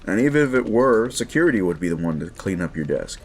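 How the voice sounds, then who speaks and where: A man's voice: sits low, sing-song, quick pace. A man playing a smug, sleazy werewolf boss in an audio roleplay, talking down to the listener in a mocking, patronizing drawl. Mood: condescending. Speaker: office boss.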